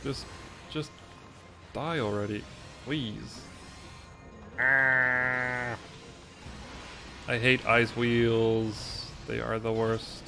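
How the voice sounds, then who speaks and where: Gravelly Dutch man with game music. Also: medium pitch, drawn-out words, pauses often. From Netherlands. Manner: relaxed, chatty, mixes British and American pronunciation. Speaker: speedrunner. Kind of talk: casual commentary while playing.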